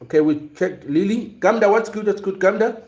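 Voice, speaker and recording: A man from South Africa talks casually on a livestream, often pausing and restarting mid-sentence. His voice sits a little high for a man. The room gives it a noticeable echo. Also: darkish tone. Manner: hesitant, many fillers and restarts. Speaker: livestream host. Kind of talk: casual livestream commentary reading chat. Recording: roomy echo.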